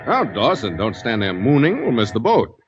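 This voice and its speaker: An actor playing a hearty, scoffing, stuffy gentleman tourist in a 1940s radio drama. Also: mid-range voice, huge pitch swings, normal speed. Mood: brisk, puzzled. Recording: muffled vintage radio recording.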